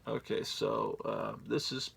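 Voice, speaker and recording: A man's voice: lowish voice, huge pitch swings, takes it slowly, fairly bright tone, slight background noise. A middle-aged man in a casual, rambling show-and-tell, profane and digressive with a self-mocking streak. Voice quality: gravelly.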